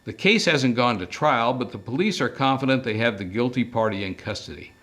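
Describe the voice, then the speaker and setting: A man's voice: medium pitch, animated, a little fast, a bit bright. Man reading as a curt, wry private detective. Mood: businesslike. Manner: terse, wry, self-assured.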